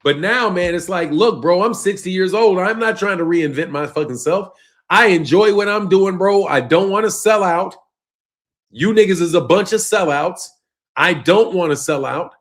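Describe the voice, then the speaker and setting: High-voiced man in his fifties ranting casually. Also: normal speed, a bit bright. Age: 50s. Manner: loose and emphatic, heavy on "bro" and "man", trailing off low at phrase ends. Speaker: boxing channel host. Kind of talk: casual live-stream rant reading chat.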